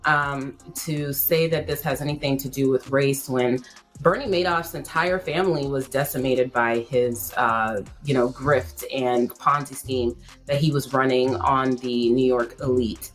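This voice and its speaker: Very deep-voiced woman, blunt commentator. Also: fairly even intonation, measured pace, soft background music. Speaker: guest commentator. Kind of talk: opinionated conversational commentary.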